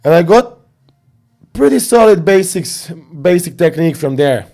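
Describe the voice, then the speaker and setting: A young man from Serbia, a boxing coach, chatting casually as an interview guest in animated storytelling fashion. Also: a little high, normal speed, creaky. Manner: animated storytelling with slang fillers.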